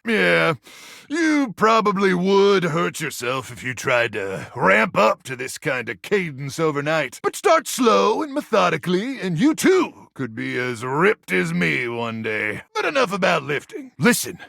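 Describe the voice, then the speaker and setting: An actor playing a cocky, goofy post-apocalyptic soldier in a video game, with a gravelly, raspy voice, bombastic bravado and deadpan asides. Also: male voice, a little high, dry recording, studio quality, exaggerated voice. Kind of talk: exaggerated video game character acting.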